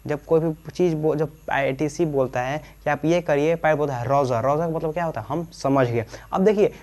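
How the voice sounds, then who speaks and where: Higher-pitched Bihari man teaching informally. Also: normal speed, darkish tone. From Bihar, India. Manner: repeatedly poses questions and answers them himself; colloquial, slips into local dialect. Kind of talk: informal classroom-style explanation.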